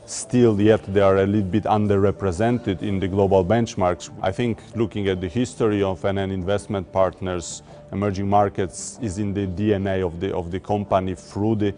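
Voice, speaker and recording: A man from Poland, talking about his investment work in a corporate interview, measured and often repeating words as he looks for the phrasing. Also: deep voice, fairly even intonation, warm tone. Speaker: emerging market debt investor. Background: faint music.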